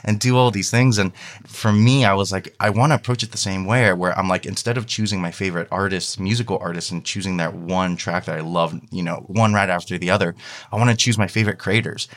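A man with a very deep voice talks as a guest in a relaxed interview. He explains his work conversationally, often quoting his own thoughts as he goes. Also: brisk. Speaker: guest, fiction podcast creator. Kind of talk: casual interview talk.

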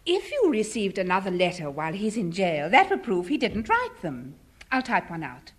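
An actress playing a practical, unflustered secretary in a 1950s radio comedy. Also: female voice, medium pitch, wildly swooping pitch, moderate pace, breathy edge. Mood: matter-of-fact. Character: practical, unflustered secretary looking after her boss. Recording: noisy old radio recording.

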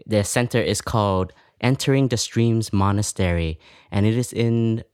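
Male podcast host, monk. Lowish voice, conversational introductions, very dry studio sound. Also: moderate pace, darkish tone, clean tone, dry recording.

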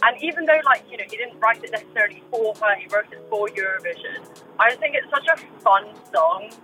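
A woman's voice: on the high side, expressive, a little fast, pushed and bright, faint music behind, low-quality recording. A woman from Iceland chatting informally and effusively as a radio guest, often dropping in "like". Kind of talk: casual chat.

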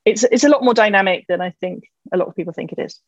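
A woman's voice: medium pitch, quick pace, dry recording, dull audio. Woman chatting away, lots of "you know"s, dry close mic. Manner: enthusiastic, uses many fillers like "you know". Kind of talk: casual conversation about languages.

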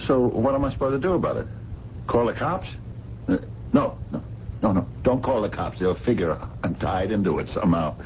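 An actor playing a gruff, sardonic working-class diner man in a radio drama, impatient and full of slangy grumbles. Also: lowish voice, animated, a little fast. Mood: irritated. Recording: dull, noisy vintage radio broadcast.

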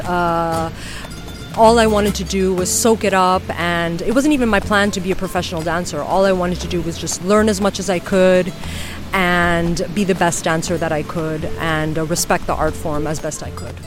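Low-voiced woman with music behind. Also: steady tone, moderate pace. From United States. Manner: thoughtful, many ums and uhs. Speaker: flamenco dancer, guest. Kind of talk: casual interview answers. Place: outdoors on a beach.

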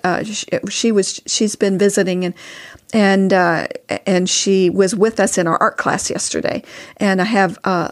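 Earnest older woman in conversation. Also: mid-range voice. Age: older, past sixty-four. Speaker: podcast guest, author and co-host spouse. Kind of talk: casual advice conversation.

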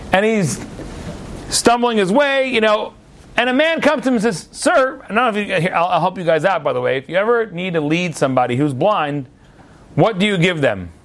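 A man giving a storytelling sermon-style Torah lesson, animated and chatty, often repeating words mid-sentence. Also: high voice. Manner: chatty asides to listeners. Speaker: Torah teacher.

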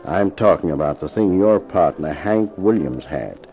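Radio gangster heavy: dark, creaky voice, swinging intonation, mocking menace, 1950s crime drama. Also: male voice, deep voice, animated, unhurried, lo-fi sound. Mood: menacing. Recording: vintage 1950s radio recording with music.

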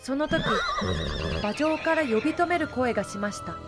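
A woman's voice: high-pitched, lively intonation, normal speed, over loud music. A woman giving polite, explanatory scripted narration for a historical audio drama.

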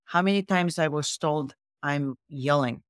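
A middle-aged woman with a low voice and a non-native accent, speaking as a guest author in an interview, emphatic and grounded in facts and figures. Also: unhurried, no echo, clean studio sound. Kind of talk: interview conversation.

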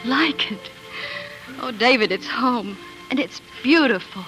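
An actress playing a tender, weary young pioneer wife in earnest, emotional 1940s radio drama style. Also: female voice, fairly high pitch, lively intonation, slow, low-quality recording. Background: music.

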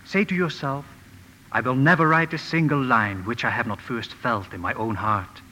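An actor playing a kindly, earnest professor in a 1950s radio drama, speaking gently and formally with deliberate phrasing. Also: male voice, mid-range voice, fairly even intonation, slight background noise, lightly acted. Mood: tender, fervent. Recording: old radio broadcast, reverberant, music behind.

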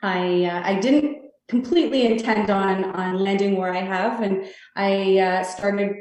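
A woman's voice: mid-range voice, steady tone, darkish tone. Woman, 30s, earnest rambling podcast guest, far from the mic in a very echoey room. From Canada (Toronto). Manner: earnest, halting, rambling answers. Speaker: real estate sales guest. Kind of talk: podcast interview answers.

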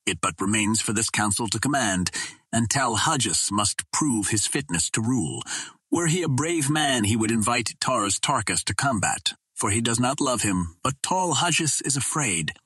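Male narrator as bold captain, oratorical, challenging, husky, sharp-edged, adventure storybook hero. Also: mid-range voice, expressive, moderate pace, no echo. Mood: defiant, rousing. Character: bold, defiant human captain rousing alien chieftains.

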